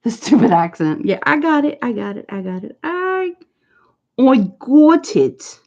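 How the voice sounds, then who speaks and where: A woman's voice: sits high, very animated, a little slow. A woman with a northern US accent chatting casually on a livestream, rambling and laughing often. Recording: dull lo-fi stream audio.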